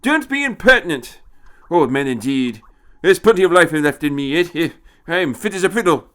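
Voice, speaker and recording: A man's voice: on the high side, expressive, normal speed, a bit bright, clean tone, voice pushed up. A man voicing an irritable, imperious old man time-traveller in an audio story, hesitant and repeating himself. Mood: indignant.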